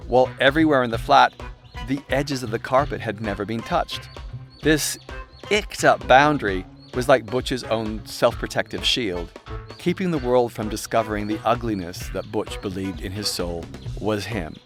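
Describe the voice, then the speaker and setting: A man's voice: mid-range voice, normal speed, a bit bright. A man reading his own self-help audiobook in a wry, irreverent, conversational narrating style, slipping into chatty asides. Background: music soundscape.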